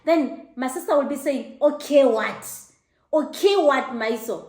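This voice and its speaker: A middle-aged woman with a South African accent recounts a personal story in a lively, laughing way and slips into squeaky exclamations. Her voice sits a little high. The room around her is echoey. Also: unhurried. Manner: animated, laughing, high-pitched mock exclamations. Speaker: host. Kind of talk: casual storytelling to camera.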